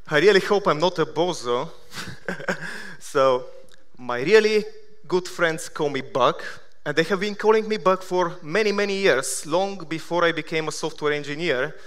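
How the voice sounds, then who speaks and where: A man in his thirties from Bulgaria with a Bulgarian accent, giving a conference keynote with self-deprecating deadpan humor. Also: a little high, a little slow. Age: 30s. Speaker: conference speaker. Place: large conference hall.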